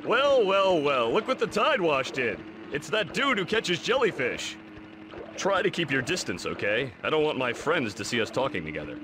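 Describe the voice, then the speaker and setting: A man's voice: fairly high pitch, sing-song, moderate pace. A man voicing a vain, irritable young lobster lifeguard in a cartoon video game, with brash surfer-dude delivery. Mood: smug, dismissive. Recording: clearly noisy game audio.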